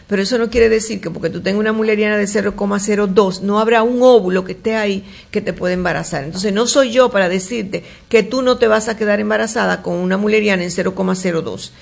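A woman gynecologist on a call-in radio show, reading listeners' questions aloud and answering them in a warm, chatty way with many fillers and restarts. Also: medium pitch, gravelly. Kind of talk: call-in health advice show.

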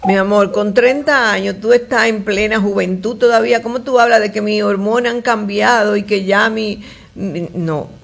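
A woman doctor with a gravelly, rough-edged voice answers listeners' health questions on a call-in show. She talks in a chatty, familiar way, full of fillers and restarts. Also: medium pitch, normal speed. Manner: reads questions aloud then answers warmly, with many fillers and restarts.

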